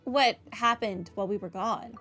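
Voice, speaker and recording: Casual woman game streamer, medium pitch. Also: moderate pace, music in the background. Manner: opinionated, explains reasoning conversationally. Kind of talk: casual playthrough commentary and reading dialogue choices.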